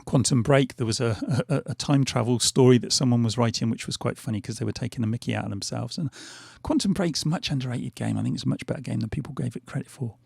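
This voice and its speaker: A man's voice: mid-range voice, fast, creaky, few pauses, darkish tone, husky, no echo, studio quality. A middle-aged man losing his voice, rambling through casual gameplay commentary with lots of fillers and restarts. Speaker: gaming channel host. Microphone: headphones on, desk mic.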